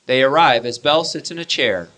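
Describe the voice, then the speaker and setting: A man's voice: mid-range voice, expressive, a little fast, sharp tone. A man giving scripted narration for a radio play.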